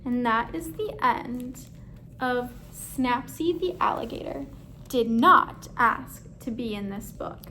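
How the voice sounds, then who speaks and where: A woman reads a picture book to children in a high, slow-paced voice. She speaks as a teacher, putting questions to her listeners. Some background noise is audible. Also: sharp tone, rough recording. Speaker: read-aloud teacher. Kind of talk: children's story read-aloud with questions to listeners.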